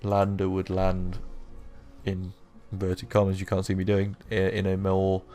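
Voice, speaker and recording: A young man with a sore, croaky throat, rambling casually through let's-play commentary with lots of fillers. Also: low voice, completely flat delivery, unhurried, warm tone, soft background music. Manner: rambling, self-deprecating, with many fillers. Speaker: gaming YouTuber.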